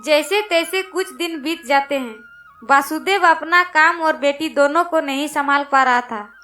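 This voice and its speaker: A woman's voice: high voice, measured pace, sharp tone, soft background music. A woman reading a scripted children's moral story as its narrator.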